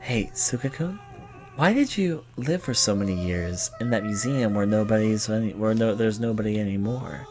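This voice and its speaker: A man voicing an earnest, protective young female student in a video game, pleading and halting as he reads the dialogue aloud. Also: fairly low pitch, lively intonation, a little mellow, faint music behind, natural voice.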